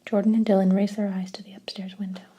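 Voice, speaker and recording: A woman reading a children's story aloud in a steady, soft whisper. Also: medium pitch, fast, husky. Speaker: narrator.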